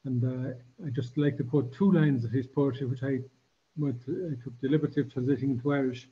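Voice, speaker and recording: An older man giving a formal lecture in a curtained embassy room, talking in a measured way with frequent restarts and fillers. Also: medium pitch, fairly even intonation, husky, highs cut off. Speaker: guest lecturer.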